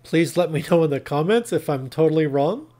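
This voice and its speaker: A man's voice: a little high, lively intonation, a little fast, dark tone. A man narrating a tech tutorial in a plain, instructional, step-by-step way. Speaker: tutorial presenter.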